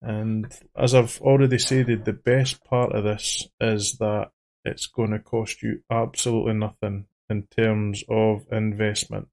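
A man with an Edinburgh Scottish accent, hosting a trading channel in a faceless voice-over, talking haltingly and repeating his words. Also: lowish voice, gravelly. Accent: Edinburgh Scottish, toned down. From Scotland. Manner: halting, repeats words, says he sounds monotone. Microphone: studio microphone.

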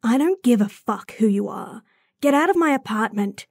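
A woman acts out an idealistic young werewolf with a quick temper for an audio drama. Her voice is raspy with plenty of fry, and she talks slowly, casual and blunt, in a dry close recording. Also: fairly high pitch, lively intonation, dry recording. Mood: hostile. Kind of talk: audio roleplay drama acting.

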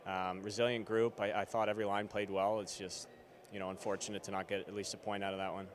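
A man's voice: lowish voice, little pitch movement, quick pace, slight background noise. A man in his twenties, a hockey player, answering postgame questions casually with many fillers, into a handheld mic in an arena corridor. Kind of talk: postgame press interview. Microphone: handheld interviewer mic.

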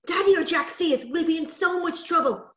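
A woman's voice: very high voice, a little fast, pushed and bright, reverberant, muffled recording, voice pushed up. A woman voicing a cautious, bossy young girl, pleading and insistent, in a children's story. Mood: anxious.

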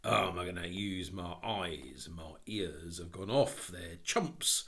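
A man voicing a stubborn working-class policeman in a storybook, gruff and blunt, with colloquial speech. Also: deep voice, takes it slowly, a bit bright, full character voice. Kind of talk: storybook reading.

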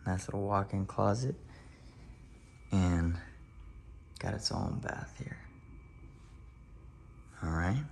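Casual tour-guide energy from a guy with a fairly low voice, calling everyone y'all and getting more and more fired up, recorded on a phone with faint room hum behind him. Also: slow, creaky, pauses often, a little mellow. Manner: upbeat, hyped, talks to viewers as y'all. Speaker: realtor touring a house. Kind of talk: casual house-tour vlog turning to preaching. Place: empty new house, then its covered back patio.

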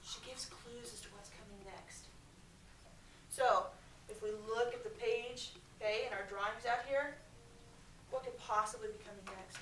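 An American woman reads a story aloud in a high, projected schoolteacher voice. She is expressive and breaks off to question her pupils. She is picked up from across an echoey classroom with faint noise behind her. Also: measured pace, distant mic. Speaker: elementary school teacher. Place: medium-sized school classroom, indoors. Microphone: far camera.

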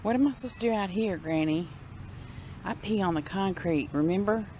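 A woman's voice: medium pitch, normal speed, warm tone, lightly acted. A woman voicing her bossy little female lapdog, who is sassy and possessive about her food. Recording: road noise from a moving car.

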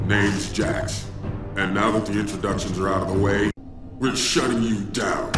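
An actor playing a tough, confident fighter in a video game, with a blunt, confrontational delivery. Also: male voice, lowish voice, fairly even intonation, normal speed, close to an everyday voice. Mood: cocky, threatening. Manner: blunt, cocky, forceful. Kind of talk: video game cutscene acting. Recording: echoey, loud game music behind.

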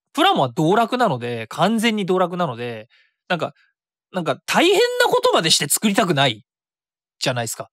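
A man's voice: fairly high pitch, a bit bright, no room sound, studio quality. A man hosting a web radio show solo in a casual, chatty style, often interrupting himself and voicing his own thoughts. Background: background music.

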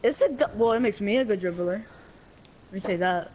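A young boy in fifth grade chatting over game voice chat, excitable and giggly, often stumbling over his words. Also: high voice, moderate pace, a little hiss or hum, poor audio. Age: child. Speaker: online game player. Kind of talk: casual voice chat while gaming.

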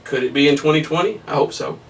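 A man in his thirties preaching a Bible study at a whiteboard, emphatic and excitable, his voice somewhat hoarse. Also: a little high, fast, recorded from a distance. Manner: emphatic, rising to excited peaks, laughs at his own points. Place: small room in front of a whiteboard. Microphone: camera at a distance.

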